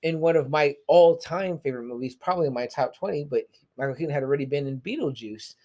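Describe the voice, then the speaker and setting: Fast-talking man hosting a movie podcast. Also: fairly high pitch, darkish tone. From South Texas. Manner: rambling, lots of "you know what I mean". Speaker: podcast host. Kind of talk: casual chat.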